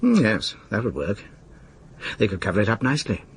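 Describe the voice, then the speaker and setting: An English actor playing a suave, slyly amused and embittered exam official in a radio drama, with clipped, sardonic delivery. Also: male voice, on the low side, huge pitch swings, brisk, husky, heavily acted. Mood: dismissive, musing. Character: urbane, bitter, slyly amused male exam-board official. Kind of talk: naturalistic radio drama acting.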